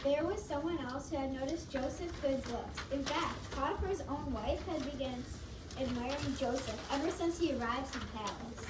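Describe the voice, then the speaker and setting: A woman reads scripted stage narration in a high voice. She sounds far from the microphone, in a roomy space with clear noise. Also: moderate pace. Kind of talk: scripted reader's theatre narration. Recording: echoey, distant, noisy.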